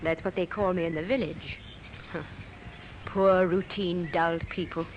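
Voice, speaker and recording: A woman's voice: fairly low pitch, fairly even intonation, measured pace, natural voice. An actress playing an aloof, defiant young woman artist in an old radio drama, with a guarded, curt manner. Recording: muffled, noisy old radio recording.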